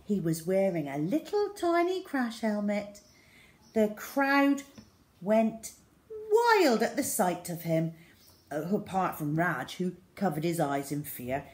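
A woman's voice: medium pitch, big pitch swings, slow pace. A middle-aged woman reading a children's story aloud expressively. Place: small room indoors at home.

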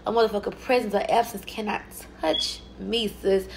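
Emphatic woman vlogging, slightly gravelly. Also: medium pitch, fairly even intonation, moderate pace, background noise. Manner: emphatic, profane, self-assured. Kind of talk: casual selfie vlog talk. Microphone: phone held at arm's length.

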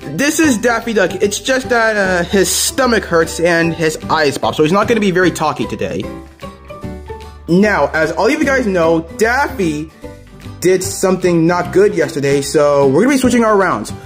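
A man's voice: high-pitched, animated, moderate pace, soft background music. A man voicing a chatty, bossy cartoon rabbit who hosts an amateur skit and directs the others. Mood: announcing. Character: bossy male cartoon rabbit playing master of ceremonies. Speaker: host.

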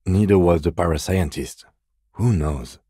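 A middle-aged police detective in a video game, with an extremely low male voice. He is composed and precise, choosing his words with restraint. The sound is dry and close. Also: a little slow, mellow, breathy edge, no echo. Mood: cautious, skeptical. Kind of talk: video game voice acting, understated dialogue.

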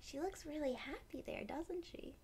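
A woman's voice: high voice, animated, moderate pace, a little mellow, a little hiss or hum. A young adult woman hosting a children's read-aloud, explaining things simply and expressively as she goes. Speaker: storytime host, teacher. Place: small decorated room indoors.

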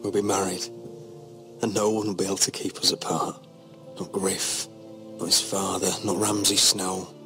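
An actor playing a solemn, protective young lord in a fantasy video game, measured and serious. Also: male voice, low voice, level tone. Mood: subdued. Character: solemn, battle-scarred young lord, protective older brother. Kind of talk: dramatic video game acting. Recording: music behind the voice.

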